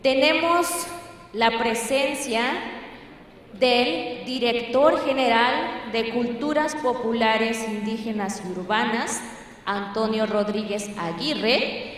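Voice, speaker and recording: Slow-paced Mexican woman announcing in echoing hall. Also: a little high, recorded from a distance. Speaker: master of ceremonies. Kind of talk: formal ceremonial event announcing. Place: large event hall. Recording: very echoey hall, amplified, faint noise.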